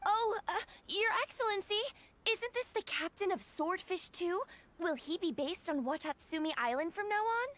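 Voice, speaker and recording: A woman's voice: very high-pitched, animated, normal speed, fairly bright tone. A woman voicing a curious young villager in a game, inquisitive in manner.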